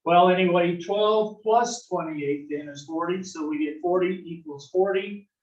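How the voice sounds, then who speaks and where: A man's voice: high-pitched, measured pace, a little mellow, lo-fi sound. Folksy male teacher in an echoey classroom. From south-central US. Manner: folksy, corny jokes, keeps checking in with "right?". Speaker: algebra instructor. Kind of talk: classroom lecture. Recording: echoey classroom, distant mic.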